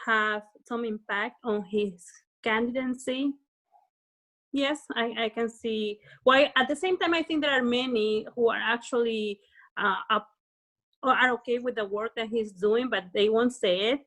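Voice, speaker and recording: A woman from Peru speaking on a panel in a measured, thoughtful way. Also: a little high, a bit bright. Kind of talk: casual discussion.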